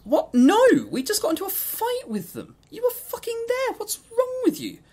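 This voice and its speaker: A man voicing an exasperated, disbelieving demon hero from a visual novel, shrill and incredulous. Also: huge pitch swings, moderate pace, darkish tone, heavily acted. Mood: incredulous, fed up.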